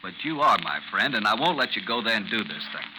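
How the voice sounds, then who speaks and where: Loyal former officer, pleading and insistent, low voice with lively swings, crackly vintage radio drama. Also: male voice, fast. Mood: pleading, firm. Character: earnest, protective male friend, a former army officer. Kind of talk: 1950s radio drama acting. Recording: noisy, sizzling 1950s radio recording.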